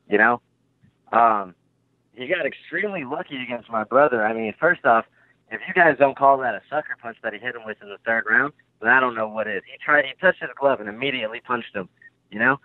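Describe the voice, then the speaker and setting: A man's voice: medium pitch, no echo. An eighteen-year-old man, a fighter talking brashly on a phone line and giving boastful guarantees. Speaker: guest fighter. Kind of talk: phone interview. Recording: tinny dry phone line.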